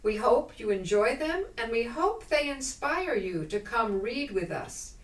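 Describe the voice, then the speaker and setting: A woman's voice: a little high, sing-song, measured pace, distant mic. A woman presenter reading a scripted introduction with dramatic narration. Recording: echoey room, away from microphone.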